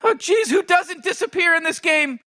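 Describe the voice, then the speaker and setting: Guy doing a jittery teen boy, really high squeaky voice, bright, stammers a ton. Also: expressive, moderate pace, full character voice. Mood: nervous. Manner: stammering, anxious, whiny. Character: nervous teenage boy sidekick, timid and whiny. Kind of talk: comedic commentary on game characters.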